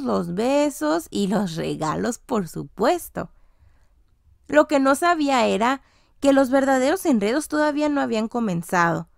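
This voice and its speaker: A woman reading a scripted children's audiobook story, with expressive, deliberately phrased storytelling. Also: a little high, vocal fry, dry recording, clean studio sound. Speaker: audiobook narrator.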